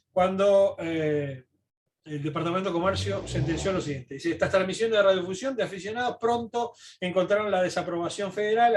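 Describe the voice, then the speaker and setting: A man lecturing informally, reading documents aloud and pausing to explain them with asides and tag questions. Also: high-pitched.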